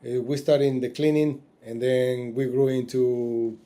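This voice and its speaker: Man in his forties, warm dark tone, halting interview guest talk. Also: medium pitch, fairly even intonation, a little hiss or hum. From Argentina. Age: 40s. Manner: halting, with many fillers and restarts. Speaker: podcast guest.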